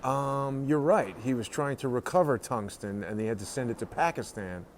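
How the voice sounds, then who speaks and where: A man's voice: medium pitch, normal speed. A man hosting a casual live tour indoors, commenting and reading signs aloud in an inquisitive way. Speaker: host of a live-streamed tour. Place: indoors, walking around a research facility. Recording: noisy live stream in a public building.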